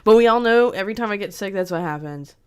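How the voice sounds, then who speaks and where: A woman's voice: mid-range voice, fast, darkish tone, clear, resonant tone. A young woman hosting a casual livestream and greeting her chat by name. She sounds congested and sniffly from a cold and laughs often. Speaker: streamer host.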